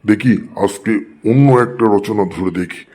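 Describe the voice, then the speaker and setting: A man's voice: lowish voice, moderate pace, darkish tone, slightly rough. An actor playing an exasperated male schoolteacher in a comedy cartoon, bent on catching out his pupils. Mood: scheming, annoyed.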